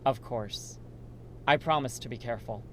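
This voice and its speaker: A man acts a steady, reassuring male survivor in a horror radio play. His voice is bright and forceful, with an earnest delivery. There is faint noise in the background. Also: mid-range voice, moderate pace, close to an everyday voice. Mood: businesslike. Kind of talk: amateur horror radio drama acting.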